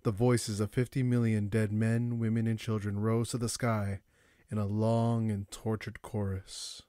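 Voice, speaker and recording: A man narrating a dark science fiction audiobook in a solemn, grave, dramatic manner. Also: on the low side, flat delivery, a little slow. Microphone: close, dry studio-style microphone.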